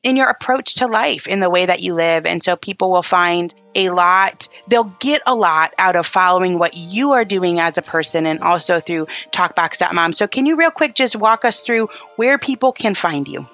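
A woman hosting a casual podcast interview, talkative and effusive, quick to affirm her guest. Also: fairly low pitch, lively intonation, a bit bright, soft background music. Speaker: podcast host.

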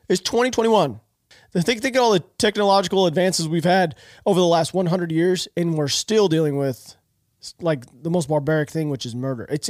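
Nasal, fast-talking podcast host. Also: male voice, high-pitched, dry recording, studio quality. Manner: rambling, opinionated, many restarts. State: stuffed up from allergies. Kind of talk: casual political commentary.

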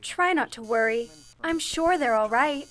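A woman playing a steady, comforting telephone operator in an old-fashioned radio drama, speaking in a matter-of-fact way. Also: high-pitched, unhurried. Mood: reassuring. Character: reassuring, steady woman switchboard operator colleague. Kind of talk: 1940s radio drama acting.

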